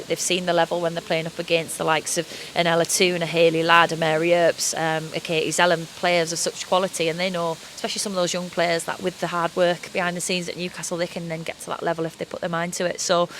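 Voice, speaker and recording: Woman, football coach, post-match interview, measured, upbeat, steady low tone. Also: a little hiss or hum. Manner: measured, upbeat, frequent "you know" fillers. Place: pitchside at an outdoor stadium.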